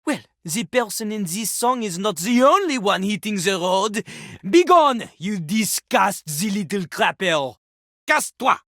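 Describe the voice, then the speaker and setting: A woman's voice: mid-range voice, big pitch swings, a little slow, fairly bright tone, no room sound, clean studio sound, heavily acted. A woman voicing a vain, scornful French-accented toilet who fancies himself a rap master, in a theatrical video game performance with a pompous, dismissive manner. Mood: scornful, exasperated. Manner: pompous, speaks of himself in third person, dismissive.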